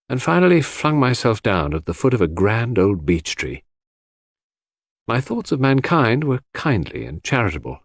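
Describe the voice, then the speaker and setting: A man's voice: medium pitch, no echo, studio quality. A man reading a first-person story as an audiobook narrator, in a scripted literary style.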